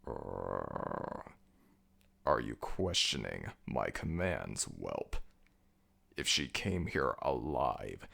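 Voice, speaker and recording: A man playing a commanding, brooding ancient jackal god of the dead in an ASMR audio roleplay, with a gravelly, very deep voice and a slow, imperious delivery. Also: a bit bright, heavily acted. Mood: stern, menacing.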